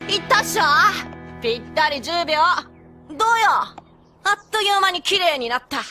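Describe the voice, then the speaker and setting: Cocky cartoon pegasus girl, high lively voice, tomboyish, boastful. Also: normal speed, faint music behind. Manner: boastful, casual tomboyish speech. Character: cocky, brash young female pegasus pony.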